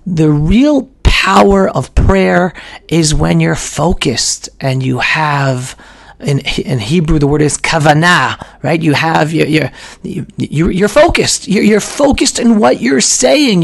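A hoarse man in his forties teaching in a casual live stream from a small room at home, talking emphatically and often repeating himself. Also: sits high, takes it slowly. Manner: animated and emphatic, with frequent repeats and restarts. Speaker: video host teaching about prayer. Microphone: webcam, close framing.

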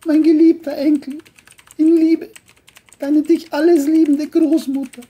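Woman playing a slow, high-voiced doting grandmother. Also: little pitch movement, mellow. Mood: tender. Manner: deliberate, formal, affectionate wording. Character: doting elderly grandmother leaving a loving note. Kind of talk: audio drama letter reading.

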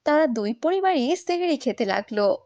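A woman with a high voice narrates a children's story. Her delivery is scripted storytelling for a moral cartoon. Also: very animated, normal speed, a little mellow, no echo, dull audio.

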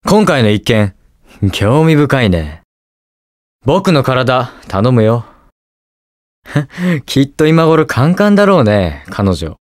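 A man's voice: medium pitch, slow, long pauses, no room sound, studio quality. A young man playing a cool, inquisitive detective superhero in a video game, with precise, teasing delivery. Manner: calm, precise, smug and curious delivery. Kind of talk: Japanese video game voice acting, short lines.

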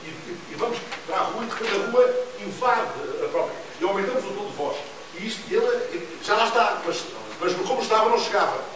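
A middle-aged man on a panel, talking quickly and animatedly with many restarts, recorded from a distance in a library event room. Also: high-pitched. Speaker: panelist speaking about radio reporting. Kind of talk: fast, rambling public talk with many restarts. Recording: echoey hall, distant mic, noise.

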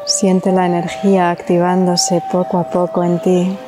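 A woman from leads a guided meditation in a calm, soothing way, leaving long pauses between phrases. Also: lowish voice, over music.

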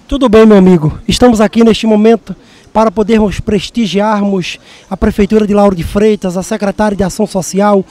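Dark, high-pitched young Brazilian man. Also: fairly even intonation, fairly quick. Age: young adult. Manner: speechifying, formal phrasing. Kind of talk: formal laudatory street interview. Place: outdoor street. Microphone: handheld reporter microphone.

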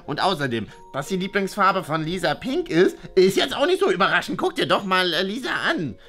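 A woman acts out an earnest, rule-abiding schoolboy in a lowish, very lively voice. He is fussy and easily flustered, in a kids' comedy skit with music playing behind. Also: fairly quick. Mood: dismissive. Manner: fussy, hesitant, precise. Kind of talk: exaggerated children's comedy skit.

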